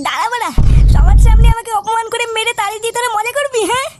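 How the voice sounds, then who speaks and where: A woman voicing a whiny, hot-tempered, foul-mouthed cartoon husband, with a pitched-up effect. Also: very fast, over loud music. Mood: furious.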